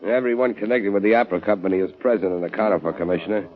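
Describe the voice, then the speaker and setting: An actor playing a dutiful, plain-spoken police detective, clipped and colloquial, in 1930s radio style. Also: male voice, fairly low pitch, steady tone, racing, close to an everyday voice. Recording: tinny 1930s radio recording.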